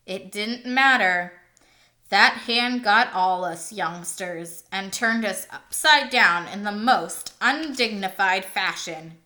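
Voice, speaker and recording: A world-weary, scornful male hamster from a children's storybook, acted out by a woman. He grumbles through his tale at a slow, deliberate pace, in a bright, clear-cut tone. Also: medium pitch. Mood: weary, indignant.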